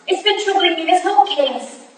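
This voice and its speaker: Woman acting an earnest, very high-voiced young Victorian sleuth. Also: expressive, moderate pace. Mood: troubled, earnest. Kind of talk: staged reading of a period mystery play. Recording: very echoey hall from far off.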